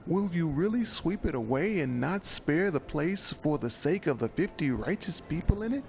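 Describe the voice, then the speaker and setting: A man's voice: a little high, lively intonation, moderate pace, creaky, darkish tone, pitched above the performer's own voice. A man voicing an old male patriarch who humbly pleads and bargains, with music behind. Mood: pleading. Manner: earnest, imploring.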